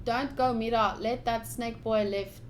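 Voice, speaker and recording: High-voiced woman chatting on a live stream. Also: fairly even intonation, unhurried, reverberant, slight background noise. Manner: informal, chatty, addresses viewers directly. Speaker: live streamer playing a visual novel. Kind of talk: casual live-stream chat and game commentary.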